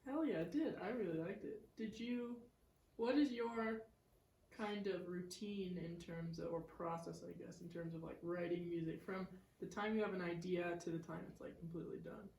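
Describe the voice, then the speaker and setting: A woman's voice: fairly low pitch, normal speed, a little mellow, a little hiss or hum. A young woman co-hosting a casual chat, bubbly and rambling. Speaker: co-host. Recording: echoey room.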